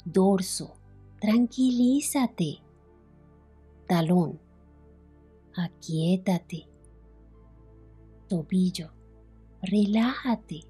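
A woman leading a guided relaxation as a meditation instructor, soothing and unhurried, with long pauses between phrases. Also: medium pitch, dark tone, breathy edge, soft background music. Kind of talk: guided relaxation, yoga nidra narration.

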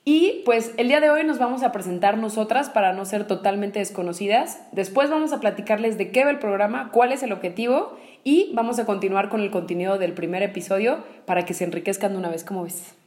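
A woman's voice: mid-range voice, fairly quick, few pauses, darkish tone, reverberant. Young woman leading a podcast. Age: 20s. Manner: friendly, steers the conversation. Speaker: podcast co-host. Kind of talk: casual chat.

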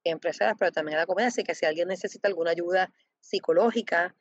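A woman representing a foundation, answering interview questions with many pauses, fillers and restarts. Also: on the low side, no echo. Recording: dull lo-fi dry sound.

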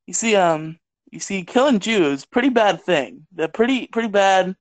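A man's voice: high-pitched, expressive, moderate pace. A young man co-hosting a movie review podcast from his bedroom, laughing often and protesting in mock outrage. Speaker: podcast co-host. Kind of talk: casual podcast banter. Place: home bedroom.

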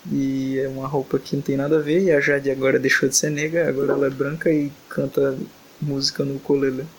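A man's voice: medium pitch, flat delivery, fast, breathy edge, rough recording. A young man hosting a casual podcast, reading a fanfic aloud and joking, tired and exasperated late at night. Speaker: podcast host.

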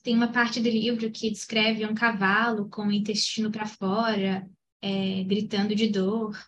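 A woman co-hosting a film podcast, explaining her views thoughtfully in relaxed chat. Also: mid-range voice, a little slow, highs cut off, slight background noise. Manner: thoughtful, explanatory, with hesitant restarts.

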